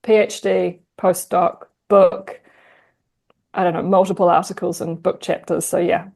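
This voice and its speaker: A woman with a New Zealand accent, a guest historian chatting in an interview, lively and quick to laugh. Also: fairly low pitch, gravelly. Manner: chatty, animated, laughs easily. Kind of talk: casual interview conversation.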